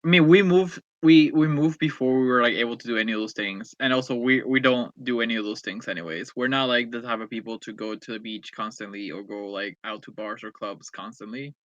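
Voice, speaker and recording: A man's voice: medium pitch, fairly quick, few pauses, no room sound, dull audio. A young man from Peru, co-hosting a podcast in a laid-back, joking, profane way. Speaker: podcast co-host. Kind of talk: casual chat.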